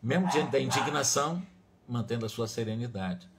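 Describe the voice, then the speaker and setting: A man's voice: medium pitch, normal speed, very noisy. A Brazilian man with a mid-range voice delivers a religious study talk. He speaks as a lecturer, didactic and emphatic, moving between reading and explanation. He often repeats and rephrases his points. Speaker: spiritist lecturer.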